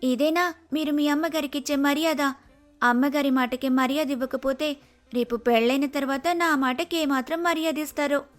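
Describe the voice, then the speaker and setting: A woman's voice: high-pitched, fairly even intonation, normal speed, lightly acted. A woman playing a forthright, affectionate young bride-to-be in a story. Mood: chiding.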